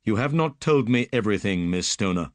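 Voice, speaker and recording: A composed male detective, quick and decisive, voiced by a man reading the story. The voice is close and dry. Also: lowish voice, animated, no echo, natural voice. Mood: brisk. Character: calm, clever male detective.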